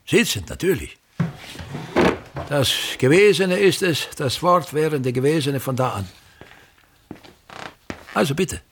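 An actor in a radio play voicing a pompous, obsessive theater man who declaims pedantically and repeats his phrases, with a gravelly, husky voice. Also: fairly high pitch, lively intonation, slow pace, pauses often, noisy background. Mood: impatient.

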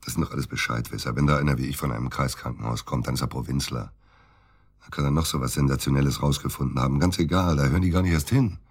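An actor playing a disgruntled middle-aged provincial surgeon, grumbling and curt, in a naturalistic radio drama. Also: male voice, extremely low pitch, fairly even intonation, quick pace, breathy edge, no room sound, clean studio sound. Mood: bitter, grumbling. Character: disgruntled middle-aged provincial surgeon, worried about his missing wife.

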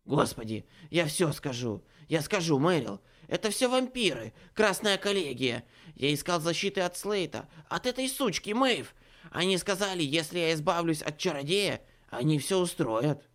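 A man's voice: fairly high pitch, big pitch swings, moderate pace, fairly bright tone, pitched above the performer's own voice. A man voicing a cowardly young changeling who whines and pleads in a panic, read as an audiobook character. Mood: panicked pleading.

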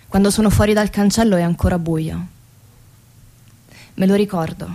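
A woman's voice: medium pitch, steady tone, moderate pace, mellow, close to an everyday voice. Actress performing female factory worker, weary, radio theatre monologue, short clipped phrasing, first-person storytelling. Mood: weary, matter-of-fact. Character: weary female car factory assembly-line worker telling her story.

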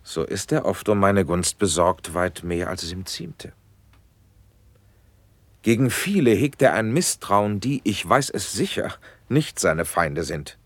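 A commanding Renaissance prince in a radio drama. His low voice swings widely in pitch as he declaims stately verse, impatient and rhetorical, with a husky grain in a dry studio. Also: sing-song, a little slow, no echo, full character voice. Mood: grave, critical. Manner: stately, elevated, rhetorical delivery. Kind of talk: classic German radio play, declaimed stage verse.

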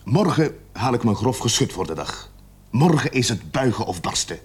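An actor playing a weary, grumpy police sergeant in a radio play, talking in a gruff, grumbling manner. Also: male voice, mid-range voice, big pitch swings, normal speed. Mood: angry, gruff.

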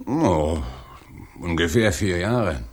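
Husky, flustered, evasive witness. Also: male voice, sits low, lively intonation, slow, full character voice. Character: flustered, evasive male witness, harried at home. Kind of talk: 1980s German radio crime drama acting.

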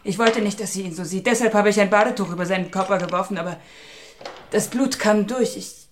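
A woman's voice: medium pitch, a little fast, slight background noise, close to an everyday voice. An actress playing a grieving mother who blames herself, in a radio play. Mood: grief-stricken. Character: grieving guilt-ridden mother.

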